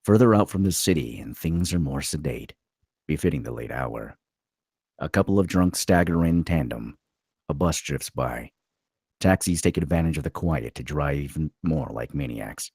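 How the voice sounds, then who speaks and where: A man narrating a screenplay at a table read, with a gravelly, husky voice. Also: a bass voice, expressive, normal speed, a little mellow, dry recording, clean studio sound.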